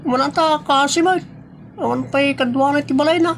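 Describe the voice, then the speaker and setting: A woman's voice: high-pitched, brisk, slight background noise. An Ilocano-accented woman playing a chatty village neighbor in a comedy audio drama. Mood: curious.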